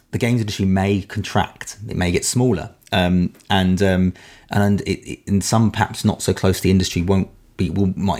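A man with a very low, croaky voice, speaking casually on a podcast panel. He thinks aloud and often restarts his sentences mid-thought. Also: a little mellow. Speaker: podcast panelist, industry editor.

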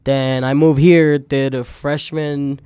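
Young man, Venezuelan accent, Spanish first language. Clear, smooth tone, dead-dry booth sound, rambling casual storyteller. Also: medium pitch, takes it slowly, no echo. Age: young adult. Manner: rambling, frequent "like" fillers, relaxed storytelling. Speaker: podcast guest. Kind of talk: casual chat.

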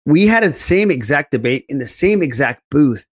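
A man's voice: fairly high pitch, very animated, normal speed, slightly rough. A man hosting a podcast, chatting casually and telling loose, rambling stories in a blunt everyday way. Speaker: podcast host. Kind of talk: casual group chat.

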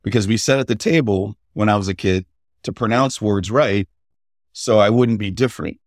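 A middle-aged man, a podcast guest, telling family stories in a halting, conversational way without a regional accent. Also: on the low side, no echo, clean studio sound. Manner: halting, with many fillers and restarts. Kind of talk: casual interview storytelling.

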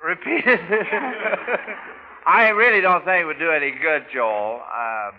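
Male American quiz host, vintage radio style, fairly high voice, chatty and hesitant, on a muffled noisy recording. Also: takes it slowly. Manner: chatty, hesitant, repeats answers back. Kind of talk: live radio quiz hosting, 1940s-50s.

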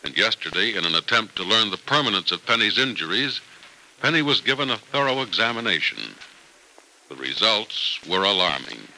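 Forceful, animated male radio announcer. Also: lowish voice, normal speed, a little hiss or hum, low-quality recording. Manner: polished, emphatic. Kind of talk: 1940s-style radio announcing, scripted.

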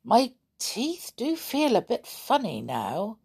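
A woman voicing a cheeky, excitable young boy in a storybook, with an earnest, protesting manner. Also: a little high, slow pace, creaky, slightly rough, voice pushed up, heavily acted. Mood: mock-serious.

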